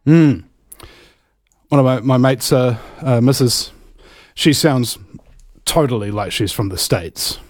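A man's voice: medium pitch, slow pace, long pauses, no room sound, studio quality. A man from New Zealand hosting casual live talk radio, rambling along with theatrical emphasis on some words.

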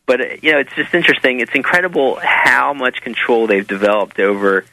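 An American man being interviewed as an author, talking casually in halting, rambling explanations. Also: medium pitch, expressive, vocal fry, sharp tone, dry recording, low-quality recording. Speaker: interview guest, author and documentary maker.

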